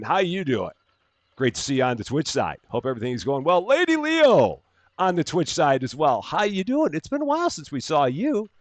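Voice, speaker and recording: A man's voice: medium pitch, no room sound. A middle-aged man from the Chicago area with a general American accent, hosting a live gaming stream and greeting viewers by name in upbeat, casual chat full of fillers and restarts. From Chicago area, USA.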